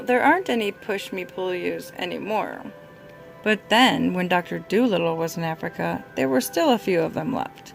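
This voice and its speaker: A woman's voice: medium pitch, vocal fry. A woman reading a children's storybook aloud to a small child, as a parent would.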